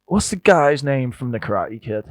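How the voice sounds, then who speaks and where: A man's voice: mid-range voice, big pitch swings, normal speed, no room sound, clean studio sound. A man co-hosting a casual movie podcast, rambling with fillers and laughing a lot. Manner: rambling, giggly, lots of fillers. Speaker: podcast co-host.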